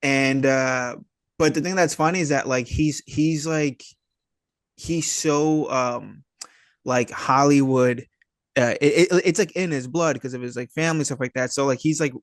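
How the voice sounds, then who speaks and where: A man's voice: unhurried, no echo. Hesitant, slangy man, very dry sound. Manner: hesitant, rambling, slangy, low-key. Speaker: interview guest, actor and filmmaker. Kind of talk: casual chat.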